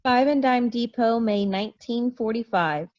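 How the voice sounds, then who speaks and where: A woman's voice: on the high side, moderate pace, darkish tone. A woman reading stage directions as scripted narration in a play table read over a video call. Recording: muffled video-call audio.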